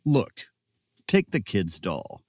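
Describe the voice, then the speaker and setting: Deadpan wisecracking co-host. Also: low voice, takes it slowly, noisy background. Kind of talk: comedic audio sketch.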